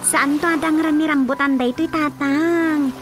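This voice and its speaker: A woman playing an excitable, tender-hearted young daughter in a radio comedy drama, with exclamatory, wide-eyed delivery. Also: high-pitched, a little slow, music in the background. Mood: earnest.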